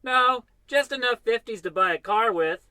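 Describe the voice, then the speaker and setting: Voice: medium pitch, lively intonation, normal speed, bright, forceful tone, dry recording. An actor playing a polite, eager neighborhood boy in the gee-whiz manner of an old-time radio comedy. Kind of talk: 1940s radio acting.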